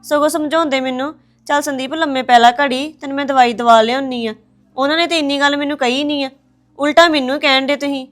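A woman's voice: sits high, steady tone, fairly quick, lightly acted. A woman playing a daughter-in-law who pleads and defends herself to her mother-in-law in a family drama. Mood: pleading. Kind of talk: domestic drama acting.

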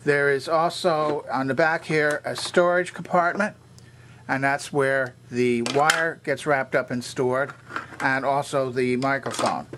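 A man's voice: medium pitch, steady tone, a little slow, noisy background. An older man giving a methodical, hands-on explanatory tour as a repairer demonstrating equipment. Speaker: vintage electronics repairer and demonstrator.

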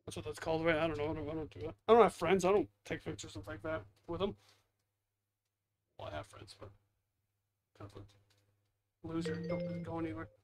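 Higher-voiced man rambling over gameplay. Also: level tone, brisk, pauses often. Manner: rambling, self-deprecating muttering. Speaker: gaming video host. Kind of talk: casual gameplay commentary.

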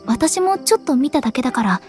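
A woman voicing an earnest, pensive young woman in an anime-style game, speaking in a serious, measured way. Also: sits high, animated, darkish tone, faint music behind. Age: young adult. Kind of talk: Japanese anime game voice acting.

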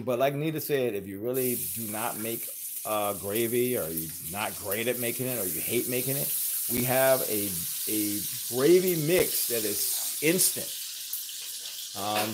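A man's voice: mid-range voice, gravelly, noisy background. A man co-hosting a home cooking livestream from off camera in a kitchen, chatting casually and reading viewer comments aloud. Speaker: co-host reading live chat.

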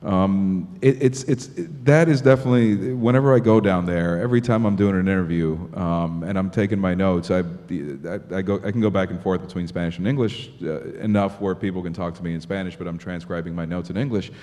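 Male panelist from Miami, 30s, low-ish voice, reverberant conference hall, table mic. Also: a little fast, few pauses. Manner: animated, thinking aloud with many restarts. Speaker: panelist journalist. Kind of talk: panel discussion answer. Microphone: table mic on stand.

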